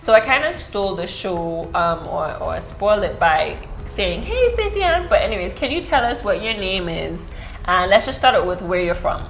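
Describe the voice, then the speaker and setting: A woman's voice: medium pitch, lively intonation, moderate pace. A woman hosting an interview podcast in casual chat, enthusiastic and affirming, full of "yeah"s and "mm-hmm"s. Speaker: podcast host. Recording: echoey room with faint noise.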